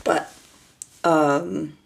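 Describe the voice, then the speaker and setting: A woman talking casually to camera as she introduces herself, in a monotone voice with hesitant pauses and restarts. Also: low-pitched, creaky. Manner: monotone, flat delivery; hesitant, many fillers and restarts.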